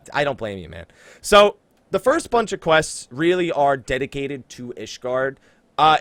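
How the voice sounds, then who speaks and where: A man's voice: mid-range voice, fairly bright tone, dry recording. A man hosting a casual gaming podcast, talking conversationally with teasing emphasis. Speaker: podcast host.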